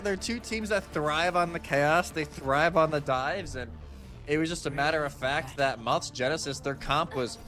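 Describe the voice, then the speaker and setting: A man giving live analytical commentary on an esports match. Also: on the high side.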